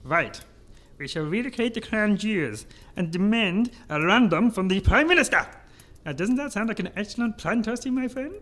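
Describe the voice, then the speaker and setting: A man's voice: sits high, animated, moderate pace, fairly bright tone. A man playing a megalomaniac, short-tempered German baron villain with a pompous, ranting manner and a German accent in a comic radio sketch. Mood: scheming, pompous.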